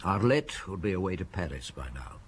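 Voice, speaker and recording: A weary middle-aged police inspector, asking dry, measured questions in a low voice that rises and falls freely. A faint ambience hangs under this radio drama. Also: male voice, very animated, slight background noise. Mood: reflective. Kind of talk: radio drama acting and narration.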